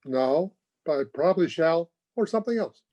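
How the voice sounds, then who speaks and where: A man's voice: a little high, lively intonation, moderate pace, darkish tone, natural voice. A man playing a brash, flippant, jaunty young suitor in an old-time radio drama. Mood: playful. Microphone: video call. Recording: dull lo-fi video call.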